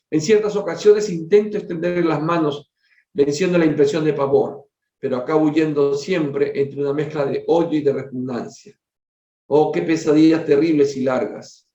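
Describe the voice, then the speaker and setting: Man voicing a weary troubled father, formal storybook delivery, earnest, slightly high pitch, echoey. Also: moderate pace, dark tone, recorded from a distance, lightly acted. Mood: anguished. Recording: dull, roomy lo-fi recording.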